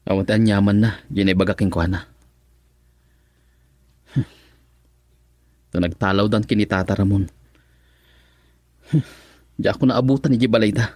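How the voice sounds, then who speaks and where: A man's voice: fairly low pitch, steady tone, takes it slowly, pauses often, darkish tone, no room sound, studio quality. An actor playing a sheepish, lovelorn young man in a radio drama, hesitant, with an Ilocano Filipino accent. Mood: wry. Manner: hesitant, chuckling between phrases.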